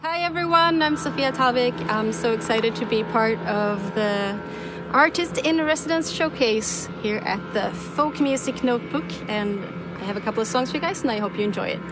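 A woman's voice: fairly high pitch, moderate pace, recorded from a distance, music in the background. A Swedish woman in her thirties, a singer-songwriter giving a cheerful, casual introduction outdoors by the sea. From Sweden. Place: rocky seaside outdoors.

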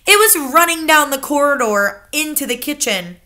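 A woman voicing a fierce, blunt elderly grandmother who scolds forcefully. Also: high voice, expressive, normal speed, pitched above the performer's own voice.

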